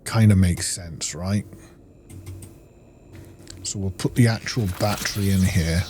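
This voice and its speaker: A man giving casual, low-key gameplay commentary, musing and thinking aloud to himself. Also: takes it slowly, background noise. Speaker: gaming video host.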